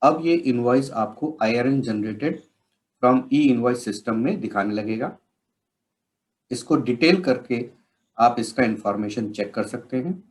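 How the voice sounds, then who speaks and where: A man with an Indian accent explains software steps as a trainer, in measured, instructional phrasing. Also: mid-range voice. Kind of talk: instructional software tutorial narration.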